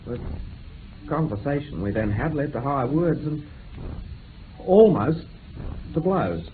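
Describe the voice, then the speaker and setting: An actor playing a distressed, guarded young man giving halting, earnest testimony in a radio drama. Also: medium pitch, expressive. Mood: anguished. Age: young adult. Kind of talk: 1960s British radio drama acting. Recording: tinny, band-limited old radio recording with hiss.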